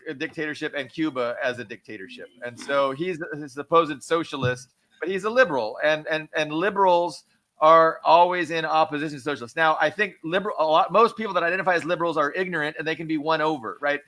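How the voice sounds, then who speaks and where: A man with a bright, forceful voice that sits a little high explains political theory. He lectures in long stacked clauses and repeats words as he builds his point, in the manner of a show host. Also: fast talker. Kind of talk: political discussion.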